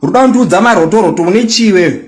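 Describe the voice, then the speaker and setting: A young Zimbabwean man with a very high voice, taunting and boasting as he fires back at a critic. He switches into English often. The sound rings around an echoey room. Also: rapid-fire. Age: 20s. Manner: mixes English into local-language talk. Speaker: social media personality. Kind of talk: angry response rant.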